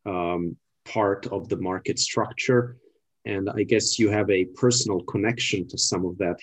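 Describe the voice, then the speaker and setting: A man hosting a podcast, putting a question to his guest in a measured, hedging way with frequent pauses. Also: fairly low pitch, poor audio. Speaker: podcast host. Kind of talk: interviewer setting up a question.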